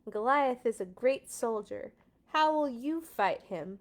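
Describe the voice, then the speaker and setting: Storybook king: skeptical, questioning, unhurried delivery, read by a woman. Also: on the high side, lively intonation, pitched above the performer's own voice. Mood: doubtful. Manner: doubting, questioning. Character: doubtful king addressing a small boy.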